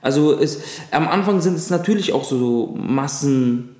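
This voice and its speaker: A man in his thirties from Lebanon, whose first language is Arabic, recalling his childhood as an interview guest with frequent pauses and fillers. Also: on the high side, animated, warm tone. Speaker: guest, actor. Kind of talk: casual interview chat. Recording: echoey room.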